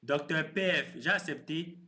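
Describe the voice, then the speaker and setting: A man in his thirties hosting a crypto live stream, chatting with viewers in a relaxed, teasing way and explaining his course. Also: fairly high pitch, a little slow. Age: 30s. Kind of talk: casual live stream chat.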